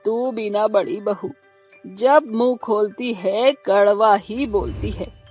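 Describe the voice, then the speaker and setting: A woman voicing a stern, weary older mother-in-law with a husky voice in a cartoon drama. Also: mid-range voice, slow, a little mellow, faint music behind, close to an everyday voice. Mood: scolding. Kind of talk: Hindi cartoon drama acting.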